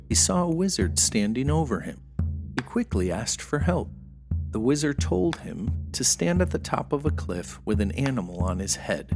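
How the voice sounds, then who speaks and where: A storyteller giving a dramatic scripted narration of a folktale, over music. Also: mid-range voice.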